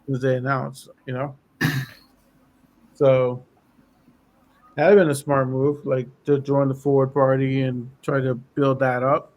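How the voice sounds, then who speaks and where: A man in casual political chat on a podcast, losing his voice and clearing his throat as he talks in a rambling way. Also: mid-range voice, level tone, takes it slowly, long pauses, highs cut off. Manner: rambling, lots of fillers. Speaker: podcast co-host.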